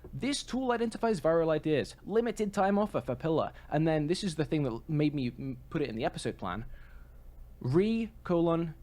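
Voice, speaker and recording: A man's voice: on the high side, big pitch swings, moderate pace, a little mellow. A man co-hosting a podcast, talking in a casual, conversational style. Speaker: podcast co-host.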